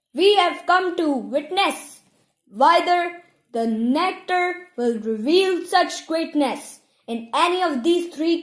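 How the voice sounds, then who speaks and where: Woman voicing a loud, high ceremonial priestess, echoey. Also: full character voice. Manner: loud, declaiming, formal proclamation. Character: ceremonial priestess proclaiming solemn rite with penetrating voice. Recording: roomy, echoing home recording.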